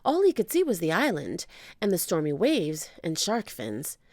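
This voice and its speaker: A woman reading a children's book aloud as an expressive storyteller. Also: on the low side, moderate pace, dry recording, studio quality.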